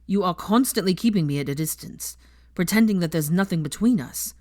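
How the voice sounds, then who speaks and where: A woman voicing an anguished, angry young man in a dramatic audiobook, forceful, bitter and pleading. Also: lowish voice, animated, brisk, no echo, studio quality, close to an everyday voice. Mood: furious. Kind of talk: dramatic audiobook character acting.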